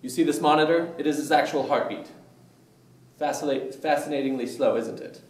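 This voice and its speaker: A man's voice: fairly high pitch, fairly even intonation, fairly quick, close to an everyday voice. A man acting a clinical, self-assured doctor who presents to an audience with formal showmanship in a staged play reading. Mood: assured, presenting. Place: indoor room, staged reading recorded from afar. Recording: echoey room, distant mic.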